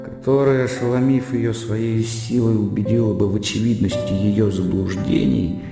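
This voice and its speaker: An educated young gentleman brooding over his own thoughts in a radio play. His low, gravelly voice reasons in bookish, self-questioning phrases. It rings in a long hall reverb with music underneath. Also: steady tone, moderate pace. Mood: frustrated, questioning. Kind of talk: radio drama acting, interior monologue.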